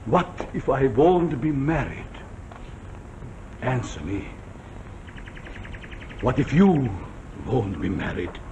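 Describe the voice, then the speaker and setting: An actor in a 1940s television drama playing an arrogant, domineering general who speaks in a blunt, pressing, commanding way. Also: male voice, medium pitch, sing-song, slow, long pauses, a little mellow. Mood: pressing, seductive. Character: arrogant, domineering wartime general, an insistent seducer. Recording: muffled, noisy 1949 television soundtrack.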